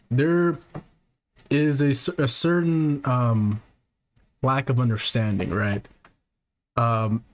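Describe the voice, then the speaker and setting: A man hosting a podcast, talking casually to viewers in a soft, halting way with lots of fillers and restarts. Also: mid-range voice. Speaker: podcast host; technician.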